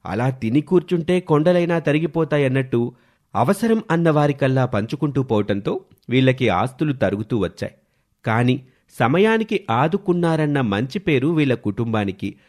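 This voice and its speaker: A man's voice: mid-range voice, a little mellow. A man reading scripted narration as the storyteller of a village tale.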